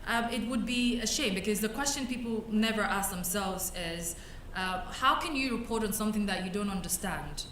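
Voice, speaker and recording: A woman's voice: medium pitch, background noise. Woman speaking in an echoey conference hall. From Cameroon. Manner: conversational, many fillers and restarts. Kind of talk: conference talk presenting slides. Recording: echoey hall, mic at a distance.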